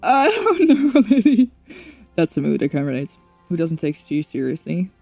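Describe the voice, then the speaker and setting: A woman's voice: fairly low pitch, wildly swooping pitch, moderate pace, vocal fry, warm tone, dry recording, soft background music. A woman from the Netherlands with a Dutch accent, chatting casually while streaming a game, rambling with lots of fillers. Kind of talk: casual gameplay stream chat.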